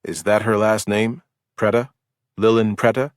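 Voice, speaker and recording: Patient police detective, read by a narrator, gentle questions, low dry voice, audiobook. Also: male voice, level tone, a little slow, no echo, lightly acted.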